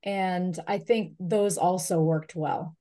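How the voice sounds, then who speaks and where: A woman organizing a session, giving informal comments and announcements in a measured, explanatory way. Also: lowish voice, steady tone, mellow.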